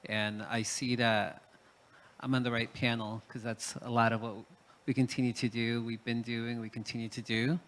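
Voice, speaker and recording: A man's voice: mid-range voice, level tone, moderate pace, a bit bright. A man from Peru speaking as a panelist in a reflective talk, hesitant, with many fillers and restarts.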